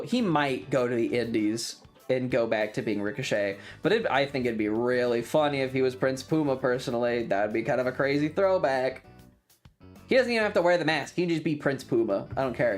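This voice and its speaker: A streamer chatting casually and enthusiastically in a rambling, colloquial way, like a fan talking to friends. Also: medium pitch. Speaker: streamer and content creator.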